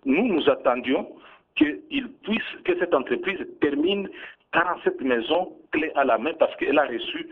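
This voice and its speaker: A Congolese-accented man answers as a government minister in a radio interview. His voice is husky and moves animatedly up and down as he insists and repeats his points with emphasis. The sound is narrow and tinny, like a phone line. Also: a little high, sing-song, normal speed. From Bunia, DR Congo.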